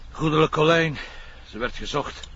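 A Flemish actor playing a dogged, world-weary middle-aged police inspector, insistent and wry, in a crime drama. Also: male voice, fairly high pitch, moderate pace, a bit bright, lightly acted. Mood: businesslike. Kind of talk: broadcast crime drama acting. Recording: dull, hissy recording.

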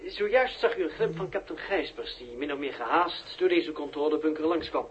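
A high, animated male voice from an actor announces as a formal launch reporter in a vintage radio play. He explains things to viewers in measured official phrases, over faint noise. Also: dull audio, lightly acted. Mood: expectant. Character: male television reporter at a futuristic rocket launch. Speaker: broadcast commentator. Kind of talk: 1960s radio drama reportage.

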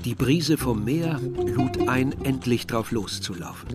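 Müder junger Erzähler, mittlere Tonlage. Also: male voice, medium pitch, huge pitch swings, slow, loud music behind the voice. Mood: wistful. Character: weary, observant young male villager narrating a journey. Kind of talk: literary radio drama narration.

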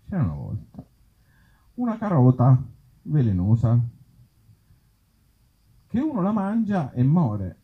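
A man puts on a befuddled storybook reader with a raised, slightly husky voice. The delivery is stubborn and halting, stumbling over words and repeating them, as comic theatre. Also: a little high, highs cut off, pitched above the performer's own voice, exaggerated voice. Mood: earnest, confused. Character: befuddled, stubborn reader of absurd little fairy tales.

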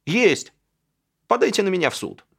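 Defiant professor landlord, acted by a male narrator: formal, taunting, gleeful underneath, lively pitch movement. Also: fairly quick, gravelly. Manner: formal legal phrasing, taunting. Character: defiant professor landlord barely hiding his glee.

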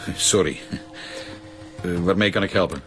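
Low-voiced flustered Flemish doctor. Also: male voice, normal speed, fairly bright tone, faint music behind. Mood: uneasy. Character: flustered male family doctor, defensively insistent. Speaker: actor in a radio police serial. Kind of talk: radio drama dialogue.

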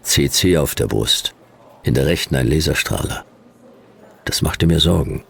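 A man playing a world-weary, sardonic private detective in a radio play, telling his story in terse, deadpan, clipped phrases. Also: extremely low pitch, unhurried, creaky, breathy edge, exaggerated voice. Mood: deadpan, tense. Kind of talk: hard-boiled radio play narration and dialogue.

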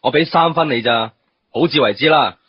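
An actor playing a harsh, dismissive male office boss in a Cantonese radio drama, with a rough voice and a Hong Kong accent peppered with English. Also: mid-range voice, moderate pace, dry recording, dull audio. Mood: scolding. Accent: Hong Kong Cantonese with English words mixed in. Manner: stern, dismissive.

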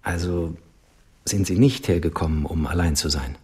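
An actor playing a quietly flirtatious journalist visitor in a radio drama, unhurried and probing. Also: male voice, deep voice, husky.

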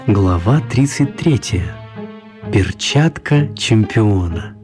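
A man narrating a children's radio story in an expressive, mock-grand dramatic style. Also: lowish voice, slow, music in the background. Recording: clear background noise and ambience.

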